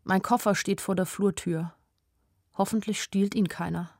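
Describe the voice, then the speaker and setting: A woman's voice: mid-range voice, steady tone, normal speed, a little mellow, no room sound, clean studio sound, lightly acted. Actress as frightened, loving young woman on the run. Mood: urgent, secretive. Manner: short, simple, intense sentences. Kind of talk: radio drama, first-person inner narration.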